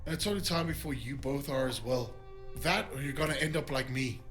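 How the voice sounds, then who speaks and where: A man's voice: mid-range voice, brisk, fairly bright tone, lightly acted. A man playing a desperate yet defiant corrupt cop who is cornered, in a noir audio drama. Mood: bitter resignation. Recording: faint music behind the voice.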